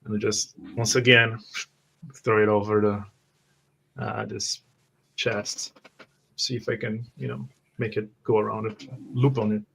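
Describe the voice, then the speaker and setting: A man's voice: lowish voice, flat delivery, slow, creaky, long pauses, fairly bright tone, breathy edge. A man playing a tabletop roleplaying game, describing his character's actions in a hesitant, thinking-aloud way. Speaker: player.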